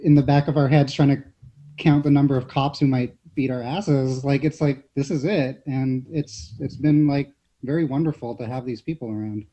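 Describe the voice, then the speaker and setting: A man with a mellow, relaxed tone talks as a panelist. He is halting and informal, often circling back on his phrases, and the recording is slightly dull and lo-fi. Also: mid-range voice. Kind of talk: casual panel discussion.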